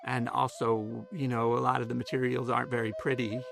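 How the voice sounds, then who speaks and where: A man's voice: mid-range voice, level tone, fairly quick, vocal fry, over music. A man who develops a school curriculum, giving thoughtful interview answers with casual fillers.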